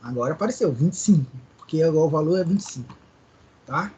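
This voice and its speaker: A man's voice: on the high side, animated, quick pace, dark tone, slight background noise. A male teacher with a Maranhão accent, explaining code step by step in a live online class and often checking in with his students. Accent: Brazilian Portuguese, Maranhão. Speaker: programming teacher.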